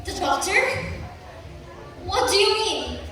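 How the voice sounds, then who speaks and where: A woman's voice: very high-pitched, lively intonation, takes it slowly, heavily acted. A teenage girl acting an outspoken, argumentative young daughter in a school play. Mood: arguing. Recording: echoey hall from far off, noisy.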